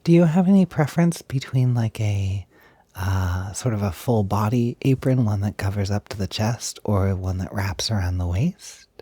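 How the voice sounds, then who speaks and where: A gentle, enthusiastic young male roommate played by a man speaks in a low, husky, breathy voice, close and dry. He is soft and hesitant, giggly and reassuring, as in an intimate conversational audio roleplay. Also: expressive, normal speed, no echo. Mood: helpful, thoughtful.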